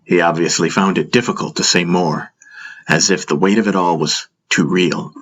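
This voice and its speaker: A man narrating a first-person fantasy audiobook in a measured, dramatic storytelling style. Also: sits low, fairly even intonation, fairly bright tone.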